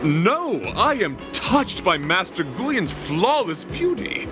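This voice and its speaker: An actor playing an earnest, courteous knight in a video game, speaking in a formal, flowery, theatrical way. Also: male voice, sits high, big pitch swings, takes it slowly, exaggerated voice. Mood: earnest, moved. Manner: formal, chivalrous, theatrical speech. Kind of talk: dramatic game voice acting. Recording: loud music behind the voice.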